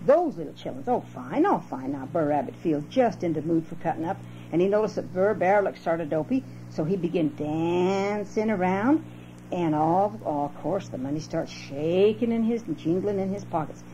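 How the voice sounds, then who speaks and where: A woman's voice: fairly low pitch, moderate pace, dark tone. An older woman telling a children's story in folksy dialect, recorded at home on cassette. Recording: dull, noisy home cassette with hum.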